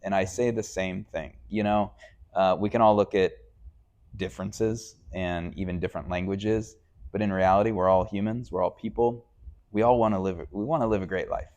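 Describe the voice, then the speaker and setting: A man's voice: low-pitched, steady tone, normal speed, darkish tone. A man interviewing a guest as show host, talking in a chatty, conversational way and repeating himself for emphasis.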